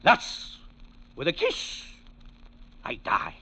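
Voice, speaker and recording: A man playing a hammy, melodramatic Shakespearean dying lover, declaiming in drawn-out style in a 1940s radio drama. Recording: muffled old radio recording.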